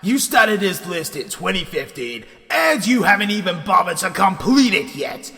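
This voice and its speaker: Man plays scolding taskmaster for a comedy skit: high-pitched, gravelly, commanding, drenched in hall reverb. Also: big pitch swings, normal speed, bright, forceful tone, faint music behind, heavily acted. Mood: stern, commanding.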